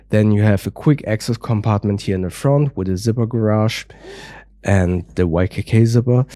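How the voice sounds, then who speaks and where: A man's voice: fairly low pitch, mellow, no room sound, studio quality. A man with a stuffy nose from a cold, reviewing gear in a careful, methodical way with frequent small hedges. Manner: careful, methodical, hedging with "a little bit". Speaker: product reviewer.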